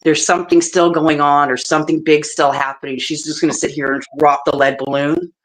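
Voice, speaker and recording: A low-pitched woman talks casually on a livestream. She sounds stuffed up and nasal with a cold-like congestion, and she is blunt and sweary in her delivery. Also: little pitch movement, a little fast, crowd noise, poor audio. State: congested, coughing. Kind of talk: casual chat.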